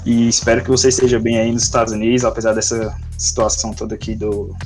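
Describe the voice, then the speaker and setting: A man's voice: medium pitch, fast. Brief: young male host, easygoing chat, faint music under him. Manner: relaxed, friendly sign-off patter. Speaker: podcast host. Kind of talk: casual podcast hosting.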